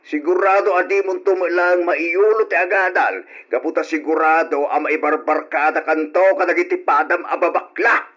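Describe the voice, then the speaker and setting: A stubborn, domineering father in an old radio drama. He is gruff and dismissive, with a rough, husky edge to his voice. The recording is dull and lo-fi. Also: on the high side, fairly even intonation, moderate pace, a bit bright. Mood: gruff, scornful. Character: stern, stubborn middle-aged father, scornful and domineering.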